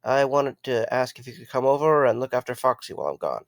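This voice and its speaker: A man acting as a young night guard in an audio drama, easygoing and wry. He talks conversationally with a sarcastic edge, recorded close and dry at a middling pitch. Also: very animated, quick pace, dry recording. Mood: casual, reflective.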